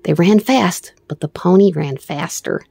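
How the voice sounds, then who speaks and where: A woman storyteller giving an expressive read-aloud narration of a children's book. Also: lowish voice, measured pace, creaky, husky, no room sound, studio quality.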